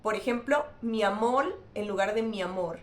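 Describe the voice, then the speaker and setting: A woman linguist hosting a scripted educational explainer, speaking clearly and spelling out examples. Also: medium pitch, moderate pace, reverberant. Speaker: linguist and channel host.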